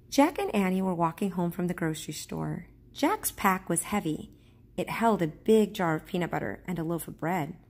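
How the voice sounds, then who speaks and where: A woman reading a children's storybook aloud as a teacher would, in a measured, careful storytelling manner. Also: fairly low pitch, darkish tone.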